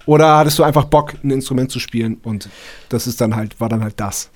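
A man's voice: mid-range voice, fast talker. A man hosting a casual interview podcast in speech, often repeating words as he searches for phrasing. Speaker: podcast host.

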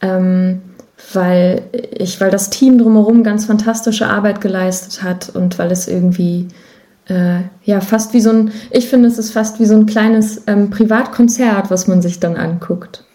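A woman's voice: mid-range voice, fairly even intonation, unhurried, mellow. A woman talking as an interview guest in a casual podcast, telling stories in a chatty, informal way. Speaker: interview guest, musician and songwriter.

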